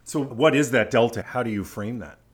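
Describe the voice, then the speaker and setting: A man's voice: mid-range voice, brisk, slightly rough. A man hosting an interview, asking analytical, carefully worded questions in a conversational way. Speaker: interviewer, podcast host.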